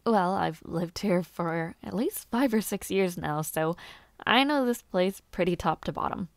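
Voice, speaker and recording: A woman playing a gentle, shy and eager young noblewoman who aspires to knighthood, chatting softly and politely in an intimate audio roleplay. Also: fairly low pitch, animated, unhurried, no room sound. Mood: wistful, modest. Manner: soft-spoken, chatty, polite, slightly bashful, giggly. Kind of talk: intimate audio roleplay, one-sided conversation.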